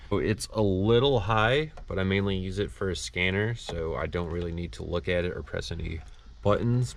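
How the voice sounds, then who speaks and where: A man's voice: sits low, slight background noise. A man casually walking through a do-it-yourself project in a plainspoken, explanatory way. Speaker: DIY car vlog host. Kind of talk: casual project walkthrough with voice-over.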